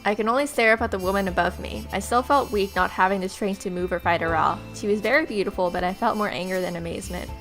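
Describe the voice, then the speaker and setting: A woman's voice: medium pitch, quick pace, few pauses, fairly bright tone. A young adult woman hosting a let's play, reading visual novel text aloud expressively with casual, sarcastic reactions. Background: game music.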